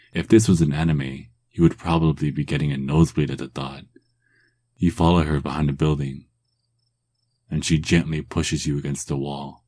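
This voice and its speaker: A man narrating a second-person romance story in a calm ASMR style, drifting at times into hushed whispers. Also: very deep voice.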